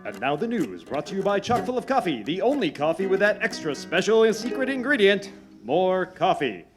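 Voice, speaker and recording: A man's voice: high voice, very animated, normal speed. A man playing a brash, bombastic radio newsman and announcer in a mock old-time radio show, punchy and theatrical. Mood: grandiose. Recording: live show with music behind.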